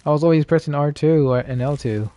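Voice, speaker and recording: A man doing casual live gameplay commentary as a streamer, thinking aloud in a halting way. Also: a little high, mellow, no room sound. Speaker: game streamer.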